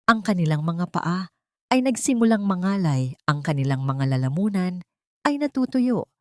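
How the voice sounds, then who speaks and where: A woman's voice: mid-range voice, a little mellow, no echo, clean studio sound. A woman narrating a dramatic story from a script.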